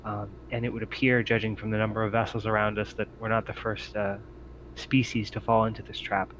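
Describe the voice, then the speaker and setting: A calm Australian synthetic, acted by a male game master, speaks in a fairly low voice. He is hesitant and unhurried, a sci-fi tabletop android stranded in space. There is some background hiss on the recording. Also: close to an everyday voice, rough recording. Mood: calm, dryly resigned.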